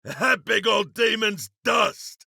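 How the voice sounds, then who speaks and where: Gravelly, folksy frontier demon hunter. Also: male voice, high voice, expressive, slow pace, sharp tone, dry recording, studio quality, heavily acted. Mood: grimly pleased. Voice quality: gravelly, raspy. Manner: folksy, drawling, courteous. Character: gruff, folksy male frontier gunslinger demon hunter, seasoned and courteous. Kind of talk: video game character voice lines.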